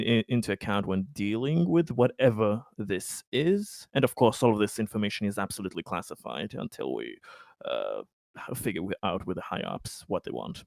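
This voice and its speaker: A man playing a tabletop roleplaying game, speaking in character in a measured, formal, official manner. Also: on the low side, gravelly, darkish tone, no echo, clean studio sound.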